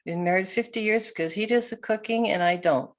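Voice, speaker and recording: A woman's voice: mid-range voice, fairly quick. An older woman from New York chatting casually and self-deprecatingly as an author guest on a video call. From New York, United States. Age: older, around 70s. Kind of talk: casual chat. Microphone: video call microphone.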